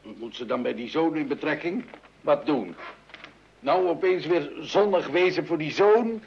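An actor playing a bumbling, baffled husband in an old-fashioned radio comedy, with a questioning manner. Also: male voice, fairly high pitch, expressive, unhurried, gravelly. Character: bumbling, baffled husband, fond of his wife. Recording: dull, noisy old radio recording.

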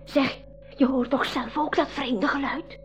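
A woman's voice: high-pitched, steady tone, measured pace, faint music behind. A woman with a Flemish accent playing a bold, suspicious seventeen-year-old sister in a 1980s school radio play, in an insistent, conspiratorial manner. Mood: determined, urgent. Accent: Belgian Dutch (Flemish). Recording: dull lo-fi 1980s radio recording.

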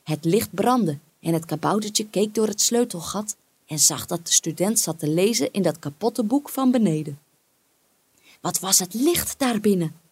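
A woman reading a fairy tale as an expressive storyteller, with dramatic, deliberate phrasing. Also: mid-range voice, slightly rough, no room sound.

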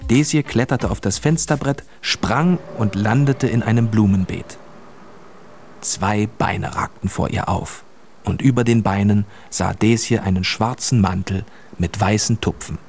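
Low-voiced male radio-drama narrator. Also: unhurried, a little mellow, slight background noise.

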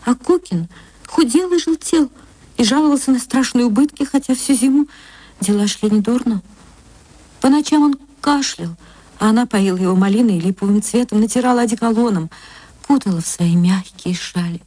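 A woman reading a short story for radio as an actress, in expressive literary narration. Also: on the high side, normal speed, no echo. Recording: music under the voice.

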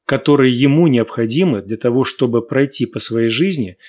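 A man's voice: medium pitch, moderate pace, darkish tone. Man lecturing as a radio host. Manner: didactic, explains with examples. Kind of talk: live radio talk, lecturing.

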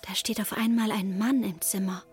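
A woman's voice: medium pitch, steady tone, normal speed, breathy edge, faint music behind. A woman playing a young girl who narrates her lonely hotel stay in plain, short, childlike sentences, as in a radio drama. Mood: matter-of-fact, childlike. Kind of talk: first-person radio drama narration in present tense.

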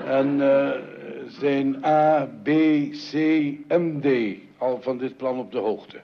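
A bumbling husband in a radio comedy drama. His middling-pitched voice is curious and eager, yet easily muddled and hesitant. The recording sounds muffled and dated. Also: slow pace. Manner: muddled, hesitant, wryly naive. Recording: dull old radio recording.

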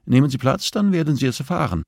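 A man's voice: mid-range voice, animated, fast talker, vocal fry, a little mellow, no room sound, studio quality, voice pushed up. A man voicing a composed, firm female prison therapist who speaks politely and insistently, as audiobook dialogue.

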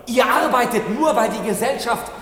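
An actor playing a desperate, urgent fugitive husband and whistleblower in a German radio play. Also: male voice, very high-pitched, moderate pace, fairly bright tone, roomy sound, big-room sound. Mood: impassioned. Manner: urgent, dramatic.

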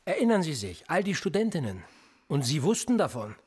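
A cool, manipulative police interrogator in a radio play. His voice is a little husky, and his pitch swings widely as he pushes a suspect with probing questions. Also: very animated, fairly quick, heavily acted. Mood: pressing. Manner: probing and insistent, pressing with pointed questions. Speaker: police officer.

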